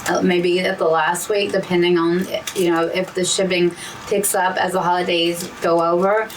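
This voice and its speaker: A woman co-hosting a casual hobby chat, hoarse and losing her voice, rambling with frequent restarts. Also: fairly low pitch, level tone, moderate pace, distant mic, a little hiss or hum, low-quality recording. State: hoarse, losing her voice from talking too much. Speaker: co-host of a diamond painting channel.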